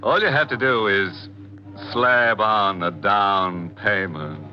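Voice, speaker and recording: An actor playing a ghoulish, theatrical host and narrator of a 1940s radio horror show, drawing out his words with sinister relish. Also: on the low side. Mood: gleefully macabre. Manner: drawn-out, pun-loving, sinister relish. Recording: dull 1940s radio recording, organ music.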